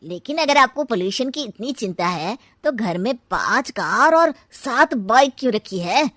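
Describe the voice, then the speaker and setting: A blunt family elder, played by a woman, insistent and challenging in a comic domestic audio story. The voice is fairly high, in a dry recording. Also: animated, measured pace, fairly bright tone, dry recording. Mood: sceptical, pointed.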